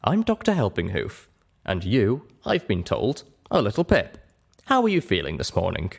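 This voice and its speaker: Man playing a genial low-voiced stallion doctor. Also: huge pitch swings, gravelly, husky, heavily acted. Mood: genial, candid. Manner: genial, measured, polite. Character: genial adult stallion doctor, patient and candid. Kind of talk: audiobook character voice in storybook reading.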